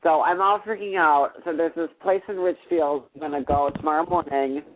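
A man's voice: on the high side, steady tone, brisk, slight background noise. Rambling, anxious young man, tinny sound. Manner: rambling, anxious, full of restarts and fillers. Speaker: podcast co-host. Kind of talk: casual chat.

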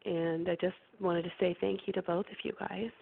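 Woman caller, hoarse and sick, gravelly low voice, earnest and grateful, tinny phone line. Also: level tone, fairly quick, a little hiss or hum. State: hoarse, sick and losing her voice.

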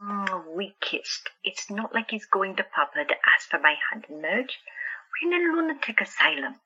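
A woman's voice: medium pitch, very animated, moderate pace, sharp tone, breathy edge, muffled recording, heavily acted. A woman playing a stubborn young sister plagued by visions in an audio drama, speaking earnestly and insistently. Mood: somber.

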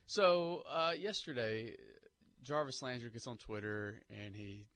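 A man's voice: medium pitch, measured pace, fairly bright tone. A man on sports talk radio, reeling off statistics and weighing up a trade with a few fillers. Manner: rattles off stats, many fillers.

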